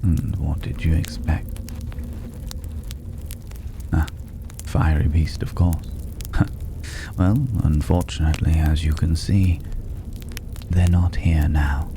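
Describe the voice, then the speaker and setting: A man playing a mysterious, worldly stranger in a dragon's hoard in an ASMR fantasy roleplay, mocking and condescending, with a languid, teasing delivery and drawn-out pauses. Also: extremely low pitch, fairly even intonation, full character voice. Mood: teasing, probing. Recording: clearly noisy ambient background.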